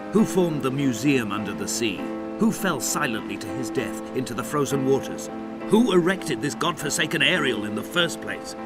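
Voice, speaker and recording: An actor playing a lone, grieving castaway, narrating in a measured, solemn voice as a literary game monologue. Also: male voice, medium pitch, natural voice. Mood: questioning, brooding. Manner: brooding, measured, solemn, poetic delivery. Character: lone, grieving, injured male castaway narrating his island exile. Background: prominent music.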